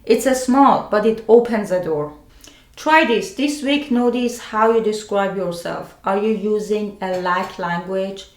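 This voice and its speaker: A woman with a non-native English accent hosts a scripted self-help video. Her pitch sits in the middle range for a woman. She talks in deliberate, short phrases with pauses, and the room adds clear echo around her voice. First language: a language other than English. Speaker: video host sharing self-help advice. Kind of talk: scripted personal explainer to camera. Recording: roomy, echoing sound.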